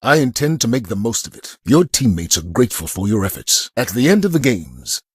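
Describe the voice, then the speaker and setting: An actor playing a dignified, steadfast military commander in a video game, speaking in a measured, formal, encouraging way. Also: male voice, medium pitch, big pitch swings, slightly rough, no echo, clean studio sound. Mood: earnest resolve. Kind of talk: scripted video game dialogue.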